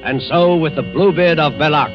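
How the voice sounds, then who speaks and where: A man's voice: a little high, normal speed, music in the background, close to an everyday voice. Man playing a mysterious 1940s radio suspense narrator. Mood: mysterious, dramatic. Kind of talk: 1940s radio drama introduction. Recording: muffled 1940s radio broadcast.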